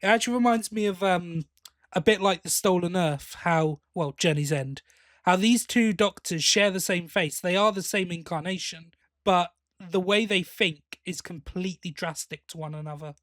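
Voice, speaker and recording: Male podcast co-host, slightly high-pitched, conversational fan talk, dry sound. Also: moderate pace, a bit bright, no echo. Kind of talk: casual fan discussion.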